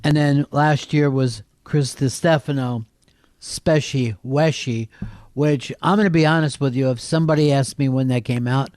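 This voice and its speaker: A man's voice: medium pitch, steady tone, moderate pace, a little mellow, dry recording, studio quality. A man hosting a comedy talk show with casual, joking, rambling banter.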